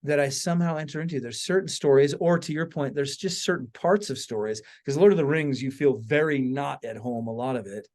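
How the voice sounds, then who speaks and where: A man with a medium-pitched voice hosts a casual podcast conversation. He is inquisitive and tends to circle around a question, restarting it as he works toward the point. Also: brisk, few pauses, darkish tone. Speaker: podcast host and interviewer.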